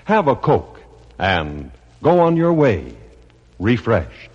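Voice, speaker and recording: A man's voice: medium pitch, huge pitch swings, slow pace, a little mellow, husky. A man announcing from a script on 1950s radio, with polished, formal phrasing. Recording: dull, echoey old radio recording.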